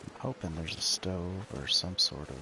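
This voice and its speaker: A laid-back man with a gravelly, soothing smoker's voice, giving casual commentary on a survival game as he plays. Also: low voice, moderate pace. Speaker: game streamer. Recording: clearly noisy background.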